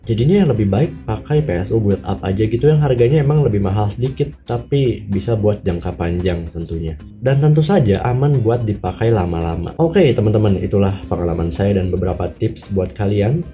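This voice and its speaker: Hoarse-ish guy explaining pc stuff, very dark mellow voice, soft guitar music behind. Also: lowish voice, animated, a little fast. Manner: chatty, self-correcting, drops slang fillers. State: slightly hoarse. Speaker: tech YouTuber. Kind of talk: casual talk-to-camera explainer.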